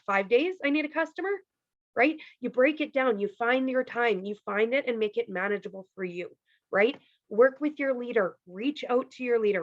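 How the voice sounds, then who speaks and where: A fairly high-voiced woman from Canada talks her team through a pay plan on a video call. Her voice is wearing thin and a little hoarse, and she keeps dropping in "like" and "okay". The audio is dry and muffled. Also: dry recording. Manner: chatty, peppered with "like" and "okay". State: losing her voice. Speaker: team leader presenting a compensation plan. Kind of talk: casual presentation on a video call.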